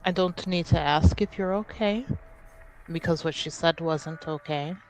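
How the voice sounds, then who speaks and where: A woman roleplaying in a tabletop game, speaking earnestly and deliberately, with narrated asides. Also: low-pitched, slight background noise, low-quality recording.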